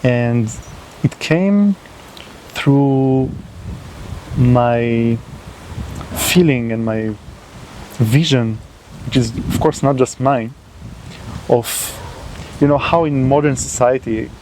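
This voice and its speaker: A man's voice: mid-range voice, slow pace, pauses often, darkish tone, a little hiss or hum, poor audio. A man hosting his own channel, talking unscripted to the camera in a non-native language, hesitant with many fillers and restarts. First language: not English. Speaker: channel host. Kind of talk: unscripted solo talk to camera.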